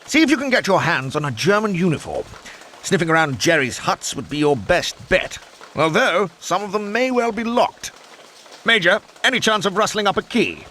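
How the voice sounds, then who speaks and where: A genial old-school British air force officer for a game cutscene. His plummy British voice is bright and hugely animated, full of courteous, jovial military slang. A faint noise sits behind him. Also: sits high, normal speed, full character voice. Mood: cheerful, conspiratorial. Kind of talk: video game cutscene acting.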